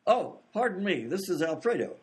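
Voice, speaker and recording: An actor playing a flustered, wisecracking vaudeville theater manager with wry banter in a radio comedy. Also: male voice, high voice, very animated, slightly rough, full character voice. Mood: wry, irritated. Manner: wry, fast-talking banter. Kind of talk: old-time radio comedy acting.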